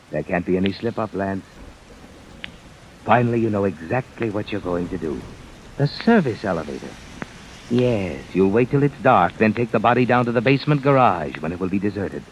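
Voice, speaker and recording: Ominous, swooping low-voiced radio narrator. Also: male voice, slight background noise. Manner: dramatic, sardonic, menacing. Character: ominous, knowing male narrator addressing the killer. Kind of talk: 1950s radio suspense narration in second person. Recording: muffled vintage radio recording with music.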